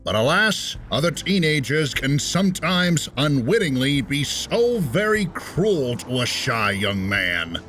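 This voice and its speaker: Animated man narrating with showman flair. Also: a little high, slow, hardly any pauses, a little hiss or hum. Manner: dramatic showman delivery, an impression of a famous comics writer. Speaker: narrator of a comic dub. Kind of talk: theatrical scripted comic-book narration.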